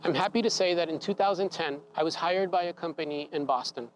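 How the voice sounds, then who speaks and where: A man calmly telling a personal story, measured and reflective. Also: a little high, a little hiss or hum, rough recording. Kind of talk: personal story told live.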